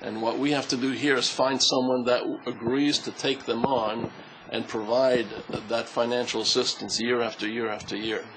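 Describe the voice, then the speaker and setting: A man's voice: mid-range voice, level tone, normal speed, background noise. A man on a charity panel, answering audience questions in a hesitant way with many fillers.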